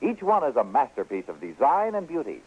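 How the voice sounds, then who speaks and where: A man with an American accent reading a polished sales pitch as an announcer in a vintage radio advertisement. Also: huge pitch swings, a little fast, husky. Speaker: commercial announcer. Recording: tinny vintage radio recording.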